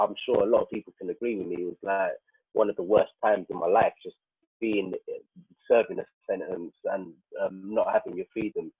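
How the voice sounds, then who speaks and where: Deep husky man on a phone-like call. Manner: halting, repetitive, searching for words. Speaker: guest, prison radio producer. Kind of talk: personal reflection in a panel conversation. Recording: roomy, phone-like narrowband call.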